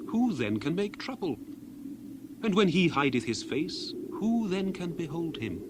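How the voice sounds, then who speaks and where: A man's voice: mid-range voice, noisy background. A man playing an earnest, indignant biblical orator, reciting King James scripture in a solemn, declamatory, emphatic manner for a dramatized reading. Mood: grave.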